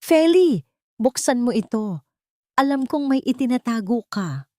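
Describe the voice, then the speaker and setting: A woman's voice: a little high, sing-song, measured pace, dark tone, dry recording, clean studio sound, pitched above the performer's own voice. A woman voicing a menacing, hateful old matriarch in a story, threatening and commanding. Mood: menacing, demanding.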